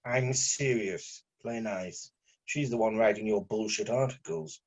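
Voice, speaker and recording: A man's voice: fairly low pitch, measured pace, gravelly. A man reading a weary, bitterly sarcastic journalist in an amateur script read. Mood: tense, resentful. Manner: exasperated, sarcastic.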